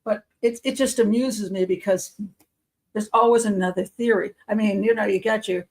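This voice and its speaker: A woman who works as a criminal profiler hosts a casual live members' show, rambling and chatty, losing her voice and clearing her throat. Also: medium pitch, fairly even intonation, moderate pace. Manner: chatty and rambling, with frequent fillers and soft laughs. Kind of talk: casual live-stream chat.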